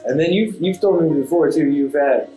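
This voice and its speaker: A man's voice: on the high side, moderate pace, warm tone. A man interviewing in a casual, informal way, with colloquial phrasing and simple, chatty questions and comments. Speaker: podcast interviewer. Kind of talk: casual chat. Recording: roomy echo, music underneath. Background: classical background music.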